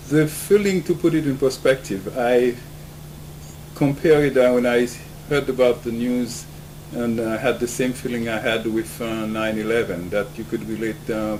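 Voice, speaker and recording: Haitian-born man over steady hum. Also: medium pitch. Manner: earnest, with frequent "uh" fillers. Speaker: volunteer doctor. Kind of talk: recounting experience to camera. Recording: steady humming background noise.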